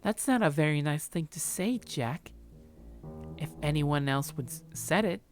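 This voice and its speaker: A woman's voice: sits low, lively intonation, a little slow, a little mellow, soft background music, pitched above the performer's own voice. A woman voicing a sly yandere in a visual novel, falsely sweet, composed and teasing. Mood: creepily sweet.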